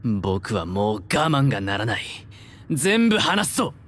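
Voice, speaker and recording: A man's voice: fairly low pitch, big pitch swings, measured pace, a bit bright. A man playing a stern, impatient young soldier in an anime game, curt and insistent. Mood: fed up. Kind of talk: Japanese anime game voice acting.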